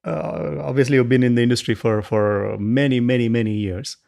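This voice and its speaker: A man from India, hosting a podcast in a friendly, halting way with many restarts. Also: medium pitch, vocal fry, a little mellow. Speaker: podcast host.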